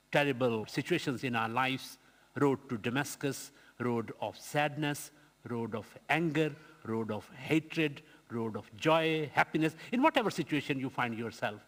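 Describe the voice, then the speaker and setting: A man delivers an earnest sermon at an even middle pitch, pausing and restarting as he tells a story. His voice carries a long reverb, as if heard in a church. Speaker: preacher.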